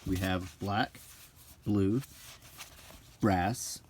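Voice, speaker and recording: A middle-aged man talking casually and unscripted in an unhurried, musing way with frequent pauses, like an unintentional ASMR video. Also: sits low, steady tone, darkish tone, slight background noise. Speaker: channel host.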